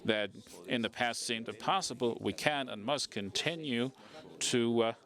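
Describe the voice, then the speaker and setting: A man interprets a formal conference speech live, in a mid-range voice. His delivery is halting, with frequent pauses, fillers and restarted phrases as he keeps up with the speaker. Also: medium pitch, a bit bright. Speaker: simultaneous interpreter.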